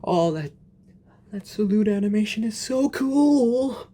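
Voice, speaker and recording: Expressive, slow-paced male gaming host. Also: high-pitched. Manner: expressive, self-talking, reactive. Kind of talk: casual gaming reaction commentary. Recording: game music behind voice.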